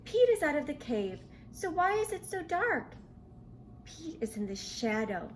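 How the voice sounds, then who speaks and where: A woman reading a picture book aloud to young children in an expressive, questioning way. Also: high voice, unhurried, slight background noise. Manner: expressive, asks the listener questions. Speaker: children's storybook reader.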